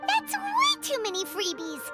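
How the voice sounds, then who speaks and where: A tiny, chirpy fairy companion from a video game. The voice is extremely high and squeaky, leaping all over with childlike excitement. Loud game music plays behind it. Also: female voice, a little slow, exaggerated voice. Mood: amazed, excited. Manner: refers to herself in third person, exclaiming. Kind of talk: video game character voice acting.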